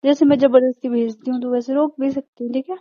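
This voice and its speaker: A woman's voice: high-pitched, steady tone, quick pace, dry recording. A woman in intimate casual chat, coaxing her partner in a stubborn, playfully pleading way. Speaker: wife. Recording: dull lo-fi call-style recording.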